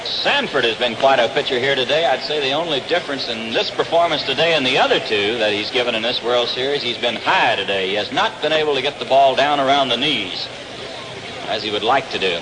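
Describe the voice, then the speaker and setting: A man's voice: mid-range voice, animated, a little fast, noisy background. An American man calling baseball play-by-play live on an old 1960s radio broadcast. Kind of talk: live radio sports commentary. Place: open-air ballpark broadcast position.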